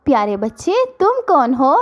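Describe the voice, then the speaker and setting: A woman voicing a caring mother bird, gently instructing, in a children's cartoon story. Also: sits high, sing-song, normal speed. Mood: kindly.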